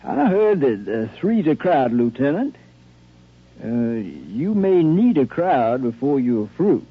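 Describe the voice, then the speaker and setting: A man's voice: medium pitch, big pitch swings, takes it slowly, husky, heavily acted. Brash infantryman, 1950s radio drama: eager, informal, swinging intonation, tinny vintage sound. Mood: sheepish, insistent. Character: eager, cocky army soldier hungry for combat. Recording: narrow, faintly noisy old radio recording.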